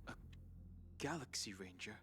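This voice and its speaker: A man's voice: fairly low pitch, lively intonation, moderate pace, pushed and bright. An actor playing a stern, guarded space crewman in a video game, formal and suspicious.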